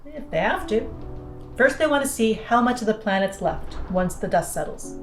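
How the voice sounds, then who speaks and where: A woman's voice: mid-range voice, lively intonation, moderate pace, close to an everyday voice. Woman acting as female pilot, cocky, dry sarcasm, blunt teasing, audio drama, roomy sound, faint music behind. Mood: cynical. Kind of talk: naturalistic audio drama dialogue.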